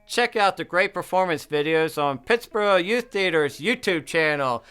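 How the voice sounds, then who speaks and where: A man's voice: on the high side, expressive, normal speed, sharp tone, smooth. An American man reading a scripted promotional announcement as an announcer. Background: guitar music.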